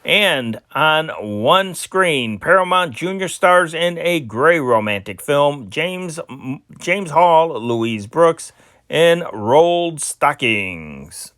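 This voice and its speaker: A man hosting an amateur reading of vintage newspaper news and listings, with a halting delivery that stumbles over names. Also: a little high, very animated, fairly bright tone, no echo, studio quality.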